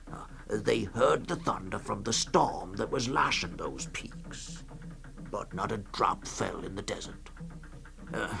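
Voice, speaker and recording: An actor playing a hesitant male storyteller in a 1960s radio reading, gravelly and halting, telling a grim tale with many uhs and pauses. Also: on the low side, fairly bright tone, heavily acted. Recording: 1960s radio production with music.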